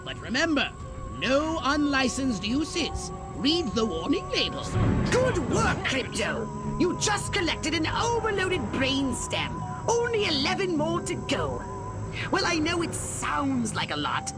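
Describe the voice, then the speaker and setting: A man's voice: very high-pitched, wildly swooping pitch, a little slow, full character voice. A man voicing a scheming, pompous alien commander in a video game, with theatrical, megalomaniacal delivery. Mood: officious. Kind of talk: video game cutscene acting. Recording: loud music behind the voice.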